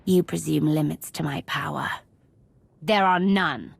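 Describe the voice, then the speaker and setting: A woman's voice: low voice, unhurried, vocal fry, husky, no echo, heavily acted. An actress playing a bitter, powerful young woman in a video game, sardonic and contemptuous toward her family. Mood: arrogant. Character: bitter, sarcastic young adult daughter, cruel and powerful. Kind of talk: video game character acting.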